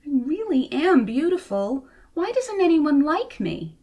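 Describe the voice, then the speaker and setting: Vain male storybook fish, played by a female reader: highish, swooping, self-important, theatrical. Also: sing-song, measured pace, mellow, heavily acted. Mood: hurt. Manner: haughty, exclamatory. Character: proud, vain male rainbow fish, dramatically self-pitying. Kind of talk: children's storybook character voice.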